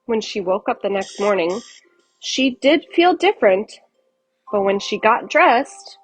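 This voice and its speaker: A woman reading a children's picture book aloud as an expressive storyteller. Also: medium pitch, unhurried, slightly rough, soft background music, low-quality recording.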